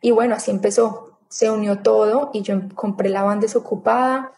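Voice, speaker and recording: A woman in her thirties talks through her story in a relaxed interview. Her voice is mellow and warm, at an everyday pitch, and she slips into little quoted asides of what she thought back then. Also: normal speed, vocal fry. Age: 30s. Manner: chatty, animated retelling with quoted inner thoughts. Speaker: interview guest.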